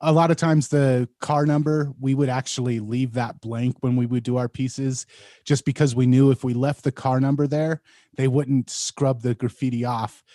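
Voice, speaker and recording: A man's voice: mid-range voice, steady tone, normal speed, warm tone, dry recording, studio quality. A man joining an interview conversation with an easygoing, casual story. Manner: easygoing, laughs while telling. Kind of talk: casual chat.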